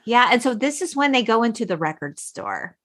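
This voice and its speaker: A woman's voice: on the high side, wildly swooping pitch, brisk, fairly bright tone. A woman hosting a podcast, retelling a film in an enthusiastic, emphatic, casual way and voicing quotes as she goes. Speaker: podcast host.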